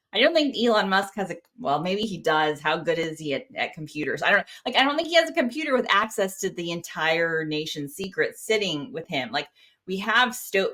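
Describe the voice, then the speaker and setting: A woman with an American accent explaining things informally as an interview guest, in an animated, colloquial way. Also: on the low side. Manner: animated, colloquial, quotes imagined speakers. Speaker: interview guest, security clearance expert.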